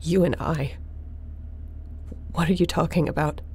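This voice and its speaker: A woman playing a tough, defiant space captain in a sci-fi audio roleplay, blunt and confrontational, with a husky voice. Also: deep voice, fairly even intonation, unhurried, darkish tone, a little hiss or hum. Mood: defiant, wary.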